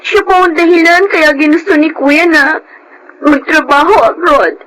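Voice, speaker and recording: Very high-voiced pleading Filipina daughter. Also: female voice, moderate pace, fairly bright tone, rough recording. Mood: troubled, confiding. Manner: emotional, imploring. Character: pleading, tearful young daughter defending her mother. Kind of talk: radio drama acting. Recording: music under the voice.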